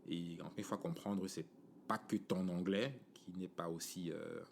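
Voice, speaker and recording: A man with a Cameroonian French accent talks through a podcast monologue in a loose, conversational way, dropping "tu vois" every few phrases. His voice sits very low. A faint hiss of background noise runs under the recording. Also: steady tone, moderate pace, vocal fry. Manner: conversational, many fillers and "tu vois" asides. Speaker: podcast host. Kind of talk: casual monologue.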